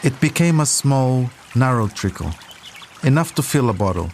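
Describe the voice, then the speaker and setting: A man's voice: mid-range voice, expressive, normal speed, a little mellow, slight background noise. A man delivering scripted documentary narration as the storyteller of a podcast.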